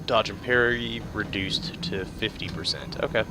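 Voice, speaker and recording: A man's voice: lowish voice, moderate pace, very noisy. A man giving casual video game commentary in a laid-back, hesitant, rambling way, sometimes reading out tips. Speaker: game player commentating a playthrough. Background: game music.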